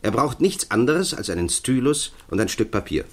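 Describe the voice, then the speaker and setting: A man's voice: medium pitch, very animated, normal speed, slightly rough. An actor in a 1960s German radio drama playing an assured official, formal and explanatory as he presents and instructs. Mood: explaining. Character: assured male official presenting his protégé.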